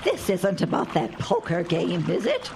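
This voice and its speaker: Creaky, plain-spoken older countrywoman, acted by a woman. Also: on the low side, wildly swooping pitch, moderate pace, exaggerated voice. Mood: firm. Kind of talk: radio play acting. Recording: clear water noise behind the voice.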